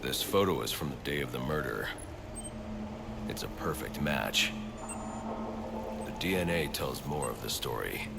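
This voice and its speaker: A man playing a grim, brooding masked vigilante detective in a video game, gravelly and terse. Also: extremely low pitch, lively intonation, a little slow, long pauses, very noisy, heavily acted. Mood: grim, focused. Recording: loud music behind the voice.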